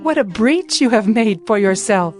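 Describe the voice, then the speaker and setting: A woman's voice: on the high side, lively intonation, moderate pace, darkish tone, faint music behind, lightly acted. Woman acting biblical midwife, formal delivery. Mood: announcing. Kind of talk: dramatized scripture reading.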